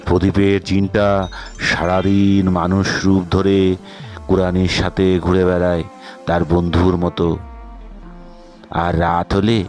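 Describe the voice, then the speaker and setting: A man narrating a scripted fairy tale as storyteller, in measured, short dramatic phrases. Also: deep voice, completely flat delivery, a little mellow, soft background music.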